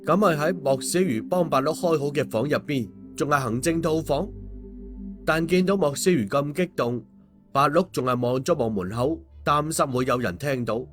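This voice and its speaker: A man narrates an audiobook in speech, his voice set a little high. He tells the story as a scripted reading, with faint music underneath. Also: moderate pace, fairly bright tone.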